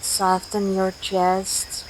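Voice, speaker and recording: A woman leading a guided sleep meditation in a gentle, soothing, measured way, with long pauses between instructions. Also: medium pitch, low-quality recording. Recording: steady outdoor nature noise, crickets. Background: cricket and night insect ambience.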